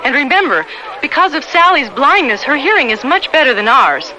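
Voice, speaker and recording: A woman's voice: high-pitched, sing-song, fairly quick, crowd noise, background noise. An actress playing a determined, practical woman balloon pilot who narrates her radio drama. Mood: relieved. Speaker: narrator and lead.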